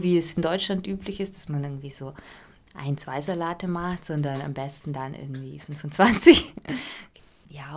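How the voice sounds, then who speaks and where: Low-pitched woman from Ukraine, hesitant, thoughtful interviewee. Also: darkish tone. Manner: thoughtful, hesitant, many fillers and restarts. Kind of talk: casual interview answers.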